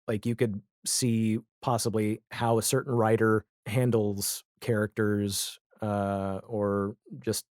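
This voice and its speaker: Low-voiced man with a bright, dry sound. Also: flat delivery, takes it slowly, hardly any pauses, no room sound. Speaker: interview guest, comedy and audio drama writer. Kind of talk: casual reflective interview chat.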